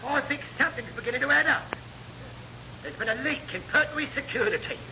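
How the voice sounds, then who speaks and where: An actor playing a scheming, aggrieved, blustering navy chief petty officer in an old British radio comedy. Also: male voice, very high voice, fairly quick, gravelly. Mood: suspicious. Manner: blustering, indignant, theatrical. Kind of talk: 1950s-60s British radio comedy acting. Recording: muffled, very noisy old radio recording.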